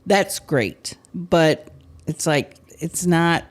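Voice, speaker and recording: A woman hosting a podcast, chatting candidly and with animation, her loudness swinging up and down. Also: sits low, very slow. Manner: candid, animated, swings between loud and soft. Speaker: podcast host. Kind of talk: casual chat.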